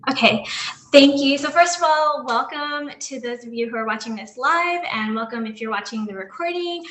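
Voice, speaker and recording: A woman's voice: high-pitched, normal speed, fairly bright tone, echoey room, a little hiss or hum. A woman in her thirties from New York giving a slide talk to an online audience as a guest dentist, earnest and full of ums and restarts. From Long Island, New York.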